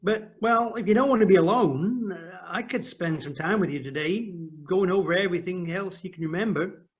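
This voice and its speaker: An actor in an audio play playing a helpful American official, reassuring and gently joking. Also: male voice, high voice, moderate pace, dull audio, natural voice. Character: helpful, genial American official living in Austria.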